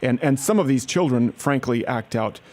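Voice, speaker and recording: A man's voice: medium pitch, lively intonation, normal speed, darkish tone. A man making a prank phone call as an earnest-sounding aid worker, pushing persistently. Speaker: prank caller.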